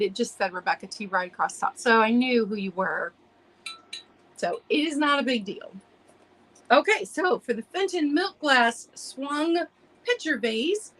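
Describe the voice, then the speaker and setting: Chatty woman hosting a live sale, slightly hoarse. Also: fairly high pitch, a bit bright, rough recording. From United States. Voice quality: throat-clearing. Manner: chatty, restarts sentences, greets viewers by name while describing items. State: losing her voice from allergies. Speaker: host of a live vintage sale. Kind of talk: casual live-stream selling chat.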